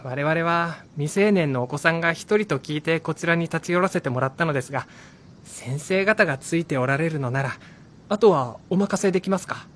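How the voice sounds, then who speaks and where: A man's voice: fairly high pitch, brisk, a bit bright, slight background noise, natural voice. Formal, courteous policeman played by an actor. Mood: businesslike. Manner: formal, polite, reassuring. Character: courteous, businesslike police officer on a visit. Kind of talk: Japanese radio drama acting.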